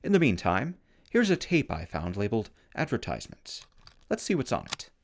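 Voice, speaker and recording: A man's voice: fairly low pitch, wildly swooping pitch, moderate pace, exaggerated voice. A man playing a pompous, bossy, self-proclaimed emperor hosting a scripted post-apocalyptic radio comedy with grandiose, exasperated commands. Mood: pompous, self-important. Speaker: radio host.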